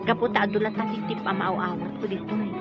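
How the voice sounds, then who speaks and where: An actress playing a practical, frank young coworker and confidante in a radio drama. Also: female voice, medium pitch, fairly even intonation, quick pace, lightly acted. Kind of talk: Ilocano radio drama acting. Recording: muffled audio under loud background music.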